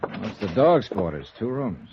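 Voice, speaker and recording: An American actor playing a wry, hard-boiled insurance investigator in 1950s radio noir style. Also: male voice, lowish voice, sing-song, moderate pace, muffled recording, noisy background. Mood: alert, explaining. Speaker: lead actor and narrator. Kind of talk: 1950s radio noir acting and first-person narration. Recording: band-limited vintage radio recording with music.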